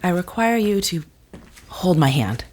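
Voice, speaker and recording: A woman's voice: fairly low pitch, big pitch swings, measured pace. A woman playing a commanding, secretive character in an audio drama, speaking in terse, formal commands with hypnotic intensity. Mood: insistent.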